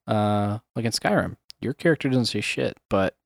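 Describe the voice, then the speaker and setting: Man, low voice, dry sound, rambling casual host. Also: lively intonation, normal speed, gravelly, dry recording. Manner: rambling, many fillers, chuckles often. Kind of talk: casual chat.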